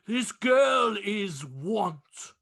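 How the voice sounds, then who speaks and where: Male actor as ominous spirit for radio play: very slow, declamatory, high and bright, commanding. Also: big pitch swings, vocal fry, full character voice. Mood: grave warning. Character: stern, ominous Christmas spirit, commanding and grave. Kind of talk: vintage radio drama acting.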